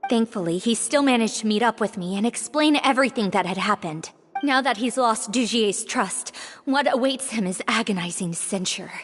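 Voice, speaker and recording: Woman voicing frightened young woman, pleading, anxious, higher voice, reverberant, music. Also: moderate pace, natural voice.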